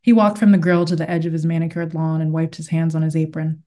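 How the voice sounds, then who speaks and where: A woman novelist with a soft-edged, very mellow voice that sits on the low side. She speaks as a guest author, presenting and reading her own fiction. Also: flat delivery, quick pace. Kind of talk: interview talk and read-aloud of literary fiction.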